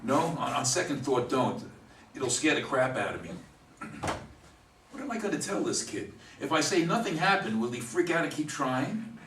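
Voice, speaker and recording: Man playing a sarcastic, animated, jittery drunk. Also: mid-range voice, moderate pace. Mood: uneasy. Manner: wisecracking, hesitant, exasperated. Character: sarcastic, jittery agnostic drunk needing detox. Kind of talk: staged play reading. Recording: echoey room, distant mic, faint noise.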